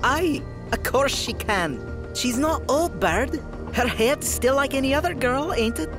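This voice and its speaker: Woman as a slow, boastful fairy boy. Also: sits high, animated, over music. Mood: cocky. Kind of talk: fantasy audio drama character acting.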